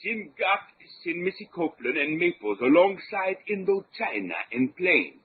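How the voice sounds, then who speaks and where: A thin, phone-like old radio sound carries a captured foreign henchman in an adventure serial. He pleads in broken pidgin English, his high voice swooping and husky. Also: big pitch swings, moderate pace, heavily acted. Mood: grudging.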